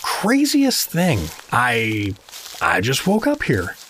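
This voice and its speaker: Folksy, animated American dad. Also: male voice, mid-range voice, takes it slowly, a little hiss or hum, heavily acted. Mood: puzzled, folksy. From rural South Dakota. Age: middle-aged. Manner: affable, rambling, corny small talk. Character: chatty, oblivious, folksy suburban American dad. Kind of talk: audio fantasy comedy acting.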